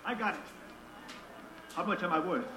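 Male, defiant enslaved rebel, radio drama: measured, declamatory, slightly high, roomy vintage recording. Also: pushed and bright. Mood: cool, confident. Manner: deliberate, declamatory, unflinching. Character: proud, defiant enslaved man, fearless gambler turned rebel. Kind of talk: 1940s radio drama acting.

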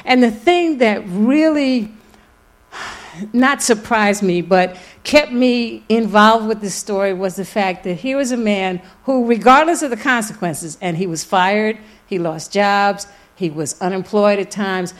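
A woman giving a public lecture as an author, telling the story in an emphatic, deliberate way with repeated phrases. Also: medium pitch, lively intonation. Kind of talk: lecture with audience questions. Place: public lecture event, live before an audience.

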